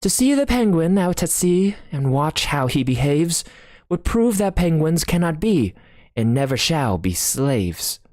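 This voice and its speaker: A man's voice: on the high side, big pitch swings, measured pace, darkish tone, no echo, studio quality, voice pushed up. Man singing as a jaunty, boastful penguin sailor.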